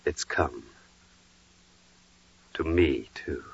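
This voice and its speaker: Very deep, humble, devout carpenter. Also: male voice, gravelly, breathy edge, full character voice. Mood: awed, contrite. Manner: earnest, reverent, measured. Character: humble, devout male carpenter, earnest and reverent. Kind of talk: 1940s radio drama acting. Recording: dull, echoey vintage radio recording.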